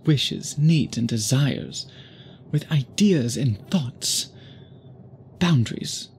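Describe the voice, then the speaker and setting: A man performs an obsessed vampire gentleman in an intimate audio roleplay. His mid-pitched voice moves slowly, with formal, flowery phrasing and deliberate pauses, possessive yet held in check. Ambient noise sits behind him. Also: vocal fry. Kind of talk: intimate scripted ASMR roleplay, addressed to listener.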